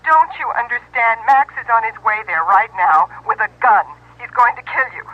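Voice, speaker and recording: A woman's voice: on the high side, lively intonation, moderate pace, slight background noise, lightly acted. A woman playing a frightened pleading woman. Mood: urgent. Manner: pleading, urgent. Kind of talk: 1970s radio drama acting. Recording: tinny lo-fi old radio broadcast.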